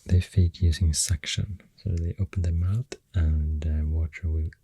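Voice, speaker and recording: A soft-spoken ASMR host with a Swedish accent, rambling gently through facts in a hushed, breathy, whispery voice with unhurried pauses, close to the microphone. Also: deep voice, fairly even intonation, no room sound. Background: pencil writing and clicking sounds.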